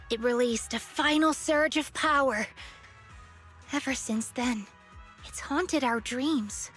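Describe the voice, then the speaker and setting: An earnest young game heroine with a notably high voice and unhurried delivery. She is a whimsical, affectionate night watcher who speaks like a storyteller, with music playing underneath. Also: fairly bright tone. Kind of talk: video game voice acting, story dialogue.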